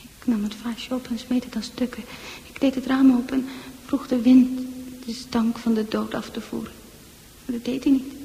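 An actress playing a fragile, haunted female psychiatric patient in a radio drama, halting and dreamy, with a breathy, often near-whispered delivery. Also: on the high side, warm tone, heavily acted. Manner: halting, poetic, lost in thought. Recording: faintly noisy old radio play recording.

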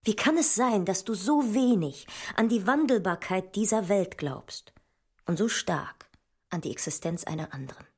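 An actress playing a sceptical, searching woman mathematician in a literary radio drama, with a husky, breathy voice that often nears a whisper. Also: mid-range voice, animated, normal speed, a little mellow.

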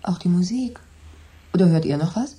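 An actress playing a theatrical middle-aged dinner guest given to ominous pronouncements, in a radio play. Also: female voice, lowish voice, lively intonation, normal speed, dark tone, close to an everyday voice.

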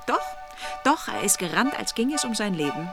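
A woman's voice: mid-range voice, big pitch swings, moderate pace, loud music behind the voice. An actress playing a defiant, jealous young society lady who complains snippily, in a German radio play.

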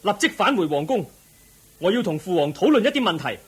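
A man's voice: high-pitched, very animated, a bit bright, faint music behind. A man acting an earnest, troubled young prince in a Cantonese radio drama, full of wondering questions. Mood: resolved. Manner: inquisitive, wondering, drawn-out questioning.

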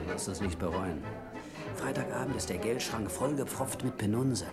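A man's voice: lowish voice, normal speed, close to an everyday voice. A man playing a ruthless, impatient burglar ringleader in a radio crime drama, bossy, urgent and conspiratorial. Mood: scheming, confident. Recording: vintage radio play with music.

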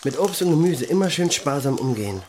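An actor playing an opinionated, hot-tempered, meat-loving band musician with a blustering, pompous manner in a radio play. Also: male voice, fairly high pitch, lively intonation, fairly quick, background noise, lightly acted. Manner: pompous pronouncements, coarse cursing.

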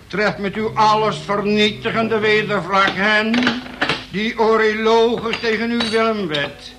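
Through heavy noise on an old radio play, a zealous male preacher declaims in a high voice. He is pompous and solemn, drawing out every phrase of his wrathful prayers. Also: fairly even intonation, a little slow. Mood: wrathful, declaiming. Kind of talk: radio play acting, chanted sermon-like prayer.

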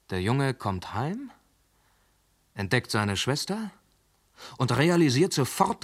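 A methodical police inspector. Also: male voice, lowish voice. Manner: measured, reasoning. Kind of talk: radio drama acting.

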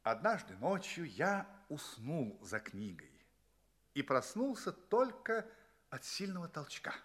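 Animated, highish-voiced space traveller. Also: male voice, slow pace, husky, full character voice. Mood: wry storytelling. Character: male space traveller recounting his adventures, earnest, polite. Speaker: narrator and lead actor. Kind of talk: theatrical first-person audio play narration.

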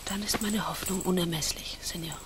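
An actress playing a trusting young noblewoman in a German radio play, hushed, breathy and romantic. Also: fairly low pitch, normal speed, music in the background, close to an everyday voice. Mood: tender, hopeful.

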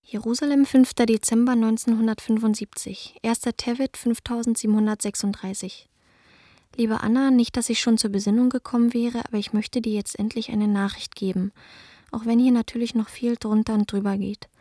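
An actress in a radio play voicing a composed emigrant woman who reads her letter to a friend in a measured, reflective way. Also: on the high side, fairly even intonation, warm tone, no room sound, studio quality, close to an everyday voice. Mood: calm, reflective.

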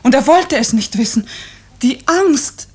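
An actress playing a troubled, evasive wife in a 1950s radio drama, speaking softly and hesitantly with a husky voice. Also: female voice, high-pitched, lively intonation, unhurried, heavily acted. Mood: fearful, troubled. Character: troubled, evasive wife hiding her illness and fear.

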